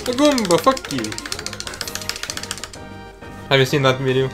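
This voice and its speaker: A man with a deep voice joking and cursing over a party video game with friends. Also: very animated, unhurried. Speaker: gaming commentator. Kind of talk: casual gaming banter. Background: game music.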